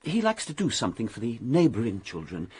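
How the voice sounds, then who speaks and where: A man's voice: medium pitch, huge pitch swings, fairly quick, a little mellow, slightly rough, exaggerated voice. An actor playing a nervous, precise, deferential secretary who hesitates as he answers in a radio drama. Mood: earnest, deferential.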